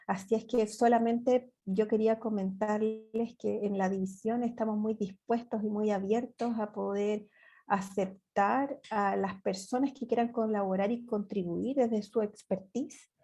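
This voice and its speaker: A woman's voice: mid-range voice, steady tone, measured pace, mellow. Earnest woman giving webinar remarks. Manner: earnest, repeats words when searching. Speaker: webinar panelist. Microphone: video call.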